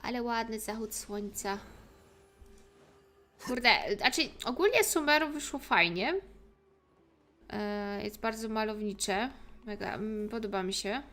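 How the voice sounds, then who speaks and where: A woman's voice: a little high, unhurried, long pauses, a bit bright. A woman streaming a game, giving casual playthrough commentary.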